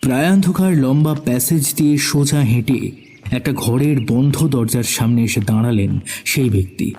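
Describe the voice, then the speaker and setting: Husky, mellow male horror narrator. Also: medium pitch, moderate pace. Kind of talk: dramatic scripted horror story narration. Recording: background music under the voice.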